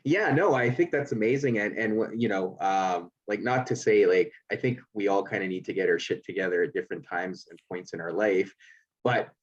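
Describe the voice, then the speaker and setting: A man's voice: deep voice, fairly quick, highs cut off. A man hosting a podcast and life coaching, reading an earnest intro and then asking questions with many fillers.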